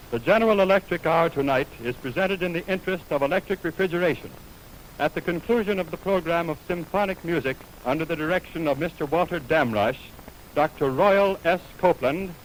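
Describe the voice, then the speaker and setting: A man reading a scripted announcement as a 1920s radio announcer, in a formal, measured, carefully enunciated manner. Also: on the high side. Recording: noisy 1929 radio aircheck on film.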